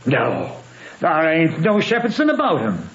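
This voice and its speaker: An actor playing a stern, wary Southern patriarch of about sixty in an old radio drama, with commanding, folksy country speech. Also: male voice, fairly high pitch, wildly swooping pitch, a little slow, a bit bright, heavily acted. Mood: relieved, kindly. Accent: American Southern. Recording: dull, hissy old radio broadcast.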